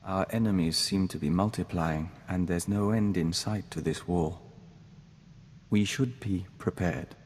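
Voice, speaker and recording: An actor playing a serene, courteous hive-bonded diplomat in a video game, speaking in a measured, formal way. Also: male voice, deep voice, a little mellow, long reverb tail, a little hiss or hum. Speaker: companion character in a video game. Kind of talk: scripted game dialogue.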